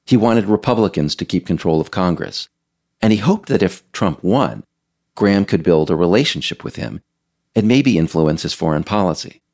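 A man's voice: low voice, lively intonation, normal speed, darkish tone. A man reading scripted narration as the author of an audiobook.

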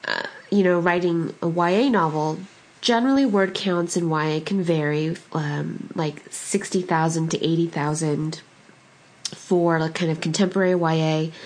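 Congested husky-voiced woman podcast host. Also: sits low, poor audio. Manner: rambling, self-deprecating, many fillers. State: congested from a cold. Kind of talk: casual podcast chat.

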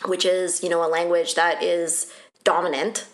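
A woman's voice: on the low side, flat delivery, normal speed. A woman from Ontario co-hosting a casual political podcast, chatty and self-deprecating with many fillers. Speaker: podcast co-host.

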